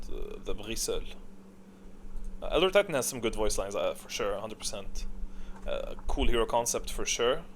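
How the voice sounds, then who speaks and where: An ordinary mid-pitched male voice, recorded with some background noise. He talks like a video creator ranking things off the cuff, blunt and informal. He often stalls mid-sentence as he works out his opinion. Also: a bit bright. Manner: opinionated, informal, mildly profane; halting, thinking aloud mid-sentence. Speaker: video creator giving a tier list. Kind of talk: casual off-the-cuff ranking commentary. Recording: clearly audible background noise.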